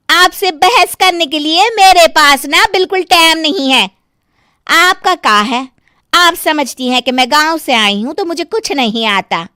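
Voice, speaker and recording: A young daughter-in-law acted by a woman in a dramatised moral story, theatrical and wheedling, with a haughty streak. Her voice is high and bright, and she stretches her words slowly in a very dry recording. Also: no room sound, exaggerated voice. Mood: dismissive, irritated. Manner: theatrical, drawn-out, wheedling delivery. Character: young village-born daughter-in-law, sugary then haughty and demanding. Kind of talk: dramatised Hindi moral story, cartoon-like acting.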